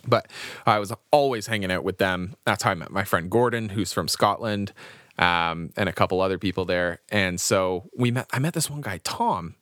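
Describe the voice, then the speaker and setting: A man's voice: sits low, moderate pace, a bit bright, dry recording, studio quality. A young Canadian man co-hosting a podcast, telling a rambling story in casual chat and laughing often. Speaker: podcast co-host.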